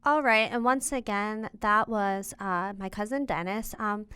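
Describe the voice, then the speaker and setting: A woman with a strikingly clean, smooth voice hosts a casual interview podcast. She speaks informally and chattily at a middle pitch. The sound is dry and close, with very little room in it. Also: fairly even intonation, a little slow, sharp tone, no room sound. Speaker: podcast host interviewing relatives.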